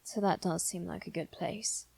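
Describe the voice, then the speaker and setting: A devoted male demon triplet, played by a woman, speaks softly and formally with a low, creaky voice. The delivery is measured and possessive, made for an intimate ASMR roleplay. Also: steady tone, exaggerated voice. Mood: gentle, explaining. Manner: soft, measured, deliberate, sometimes near whisper. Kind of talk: scripted ASMR roleplay acting.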